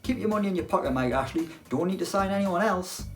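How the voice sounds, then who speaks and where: A young man chatting about football with a dry, self-deprecating wit. His voice sits a little high and bounces up and down a lot. Faint music plays behind him. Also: expressive, quick pace. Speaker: football presenter. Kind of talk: casual football chat to camera.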